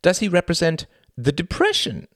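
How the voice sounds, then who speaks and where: A man voicing a flamboyant, theatrical thin stage performer in a grandiose, excitable manner. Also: on the high side, normal speed, dry recording, clean studio sound, voice pushed up.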